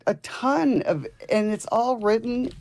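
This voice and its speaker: A woman in her sixties with a low voice, a stubborn, defensive caller arguing on a call-in show. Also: lively intonation, measured pace, darkish tone. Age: 60s. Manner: stubborn, defensive, talks over the host. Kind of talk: call-in argument.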